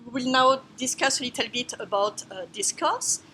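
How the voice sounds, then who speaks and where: A woman's voice: fairly high pitch, normal speed, pushed and bright, a little hiss or hum. A woman from France with a strong French accent, introducing herself as a professor of a course in careful, non-native phrasing. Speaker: biology professor.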